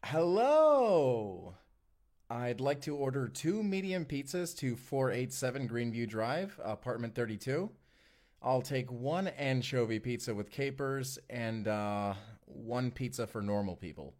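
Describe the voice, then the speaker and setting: A man's voice: medium pitch, expressive, a little slow, darkish tone, natural voice. A man playing an affectionate, teasing husband from the future in an intimate audio roleplay. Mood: casual, upbeat.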